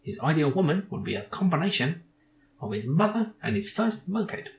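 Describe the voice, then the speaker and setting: A man's voice: fairly high pitch, sing-song, normal speed, voice pushed up. Man voicing a snarky echoing little robot sidekick. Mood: mocking. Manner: pedantic, sarcastic, dismissive.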